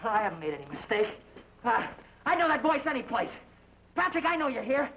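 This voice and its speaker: An actor playing a hot-tempered, desperate young brother, short and tough, pleading and shouting frantically in an old radio drama. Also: mid-range voice, very animated, a little fast. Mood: frantic, defiant. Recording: muffled, noisy old radio recording.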